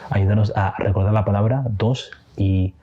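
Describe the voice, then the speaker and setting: Deep, flat-voiced man giving casual advice. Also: moderate pace. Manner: loose, rambling delivery with frequent restarts and fillers. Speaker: English teacher. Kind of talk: casual spoken advice to camera. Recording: slightly dull audio with faint music.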